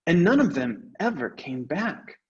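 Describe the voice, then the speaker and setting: A man's voice: fairly high pitch, very animated, measured pace, lo-fi sound, voice pushed up. A man voicing a kind, curious young girl in a storybook, with an earnest, questioning manner.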